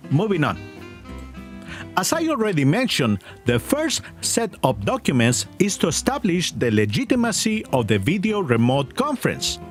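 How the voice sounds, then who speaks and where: A man with a non-native English accent reading court documents aloud in scripted commentary, with a mocking edge. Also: on the high side. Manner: mocking, reads legal text aloud. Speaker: commentary youtuber.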